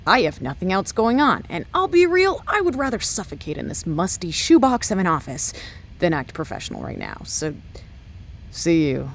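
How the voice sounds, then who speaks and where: Bored, creaky, sarcastic female radio operator. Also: on the low side, sing-song, normal speed. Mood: bored, flippant. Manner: irreverent, flippant, informal. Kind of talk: casual audio drama radio chatter.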